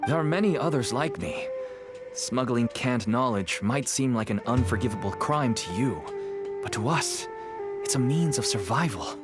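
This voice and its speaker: A man's voice: mid-range voice, lively intonation, moderate pace, over loud music, close to an everyday voice. An actor playing a worried middle-aged merchant father who speaks in an earnest, weary, pleading way.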